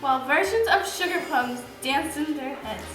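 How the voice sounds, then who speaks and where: A woman's voice: high voice, animated, moderate pace. A woman reciting holiday verse for a puppet show. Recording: heavy echo with clear music behind. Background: music.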